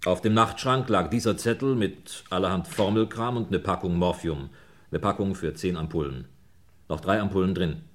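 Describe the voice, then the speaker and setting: An actor playing a brisk, dry homicide inspector in a German radio crime play, musing in clipped phrases with little questioning grunts. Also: male voice, deep voice, a bit bright, slight background noise. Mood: musing, matter-of-fact.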